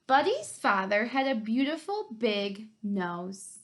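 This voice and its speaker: A woman's voice: a little high, very animated, slow, fairly bright tone. A woman co-hosting a children's read-aloud, narrating a picture book.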